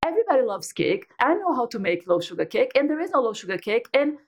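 A woman's voice: a little high, sing-song, fast. A woman, chatting as an interview guest and telling her story with lots of restarts and fillers. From Brazil. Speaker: guest entrepreneur. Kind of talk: casual interview chat.

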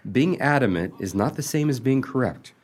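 A man's voice: medium pitch, expressive, moderate pace, natural voice. A man playing a jealous, lovesick suitor in an amateur radio play, earnest and exasperated, with a hesitant stammer.

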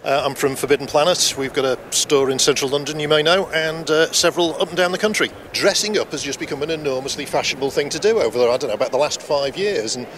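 A man from a shop chatting quickly in a convention vox pop, heard from a distance. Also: fairly high pitch, fairly bright tone, soft background music. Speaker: shop representative. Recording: distant from the mic.

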